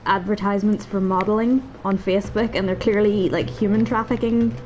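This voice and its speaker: A woman's voice: mid-range voice, fairly even intonation, brisk, a little mellow, rough recording. A woman giving casual, rambling, self-deprecating let's play commentary, her voice slightly stuffed up as if from a cold. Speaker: gaming video host.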